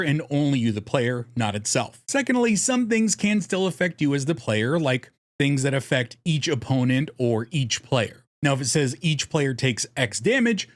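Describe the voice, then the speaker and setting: A man's voice: on the high side, no echo, studio quality. A man narrating a scripted explainer as host, with a casual, self-deprecating, jokey way of talking. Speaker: host of a card game deck guide channel.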